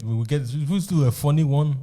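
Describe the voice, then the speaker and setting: Male podcast co-host, rapid casual chatter, very dark mellow timbre, repetitive phrasing. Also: a little high. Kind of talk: casual group banter.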